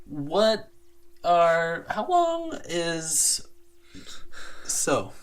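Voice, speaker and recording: A man's voice: on the high side, sing-song, very slow, clear, resonant tone, poor audio. A man chatting informally and playfully as co-host of a fan show. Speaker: graphic designer. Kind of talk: casual chat, reading fan questions.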